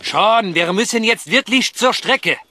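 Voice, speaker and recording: Higher-voiced, boastful male sidekick. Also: expressive, unhurried, bright, forceful tone, exaggerated voice. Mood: eager. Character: excitable, boastful male racing mechanic, loyal best friend. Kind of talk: video game voice acting in German.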